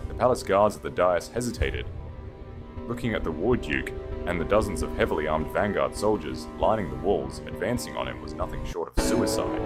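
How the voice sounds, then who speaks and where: A man reading dramatic scripted fantasy fiction as an audiobook narrator. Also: deep voice.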